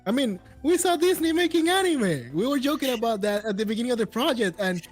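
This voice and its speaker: A man hosting a casual panel chat in English, telling stories in an animated way. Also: extremely high pitch, quick pace, soft background music. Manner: non-native English phrasing, animated storytelling. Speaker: show host.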